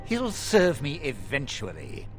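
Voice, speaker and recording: An actor playing a smug, power-mad, demon-possessed mage villain in a video game, speaking with theatrical, condescending emphasis. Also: male voice, medium pitch, huge pitch swings, takes it slowly, faint music behind. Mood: commanding, menacing. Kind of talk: dramatic video game acting.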